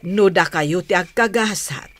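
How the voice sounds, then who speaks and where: Woman acting a slow-talking, patient Ilocano mother. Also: fairly low pitch, fairly bright tone. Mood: advising. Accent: Ilocano Filipino. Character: patient, caring Filipino mother advising her grown son. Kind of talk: audio drama dialogue.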